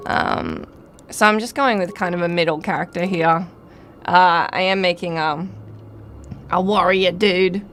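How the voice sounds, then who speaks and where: A woman's voice: fairly low pitch, steady tone, unhurried, fairly bright tone, a little hiss or hum. Wry woman chatting while gaming. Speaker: livestream host and game player. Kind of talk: casual livestream chat while playing. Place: live stream gaming setup.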